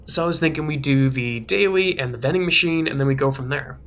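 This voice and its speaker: A young man doing casual gameplay commentary in a rambling, filler-heavy way, his voice a little rough from a recent sore throat. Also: medium pitch, fast talker, a bit bright. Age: young adult. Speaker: let's play host. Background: faint game music.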